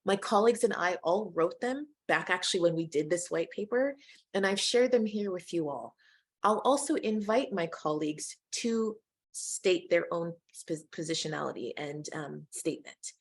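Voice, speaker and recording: Middle-aged American woman, lowish voice, measured webinar presenter. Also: animated, husky. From United States. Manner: measured, inclusive, addresses audience directly.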